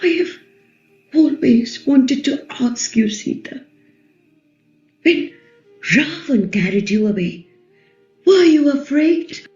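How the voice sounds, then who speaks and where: A woman's voice: high voice, animated, takes it slowly, pauses often, darkish tone. A woman playing a fierce, proud ancient Indian queen in a formal, theatrical play reading. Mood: solemn, admiring. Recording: echoey, slightly dull, music underneath.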